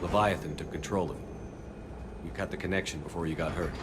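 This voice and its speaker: An actor playing a steady, commanding space-navy commander in a video game, speaking in a direct, firm, measured way. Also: male voice, deep voice, noisy background, natural voice. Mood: grave, gentle. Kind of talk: video game cutscene acting. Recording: loud game music behind the voice.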